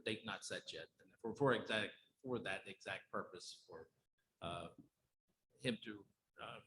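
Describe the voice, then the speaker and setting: Halting low-voiced man at a meeting. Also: fairly bright tone, a little hiss or hum, low-quality recording. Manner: halting, measured, many fillers. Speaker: police chief. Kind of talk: formal remarks at a council meeting. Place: council meeting room.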